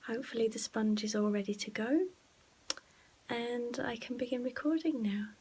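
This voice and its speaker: A soft-spoken, breathy woman giving casual behind-the-scenes vlog narration, often dropping into a whisper. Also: a little high, normal speed, a little hiss or hum. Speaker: ASMR vlogger and host.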